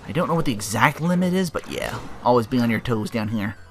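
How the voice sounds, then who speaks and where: A man's voice: medium pitch, lively intonation, quick pace. A man giving casual gameplay commentary, rambling and correcting himself, with some swearing. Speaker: let's player, host. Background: faint game music.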